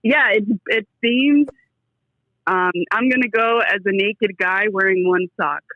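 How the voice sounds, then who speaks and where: A woman's voice: mid-range voice, unhurried, no room sound. A woman calling in to a comedy podcast, chatting casually in a rambling, joking way over muffled call-in audio. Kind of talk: casual chat. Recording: dull, band-limited call-in audio.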